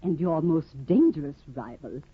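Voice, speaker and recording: An actress playing a fussy, doting elderly aunt in a 1950s radio drama, gushing and prying. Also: female voice, sits low, animated, normal speed, breathy edge, no room sound, exaggerated voice. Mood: fond. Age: older. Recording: tinny old radio broadcast.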